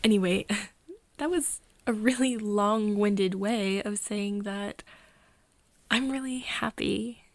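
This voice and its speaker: A woman acts out a tender, nervous young human confiding in a vampire friend in an intimate ASMR roleplay. She speaks slowly and hesitantly, drifting between soft talk and whispering. Her voice has a creaky edge and sits close and dry. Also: medium pitch, no echo. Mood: sheepish, emotional. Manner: hesitant, halting, breathy whispering mixed with soft speech. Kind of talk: ASMR roleplay, intimate one-sided dialogue to listener.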